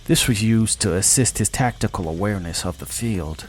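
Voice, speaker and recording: A man's voice: on the low side, moderate pace, soft background music. A man narrating a scripted science fiction story in a dramatic style.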